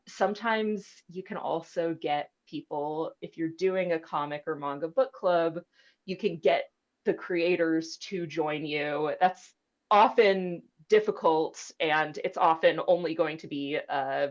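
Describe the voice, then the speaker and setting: Woman, low pitch, chatty informal presenter, librarian panelist, dull video-call sound.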